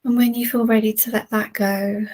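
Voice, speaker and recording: A woman leading a guided relaxation in a soothing, gentle manner with long, unhurried pauses. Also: on the high side, flat delivery, low-quality recording. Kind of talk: guided relaxation, meditation narration.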